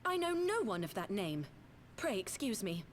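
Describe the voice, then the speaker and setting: A woman's voice: mid-range voice, big pitch swings, moderate pace, a little hiss or hum, natural voice. An actress playing a guarded former lady in waiting in a fantasy game, formal and evasive.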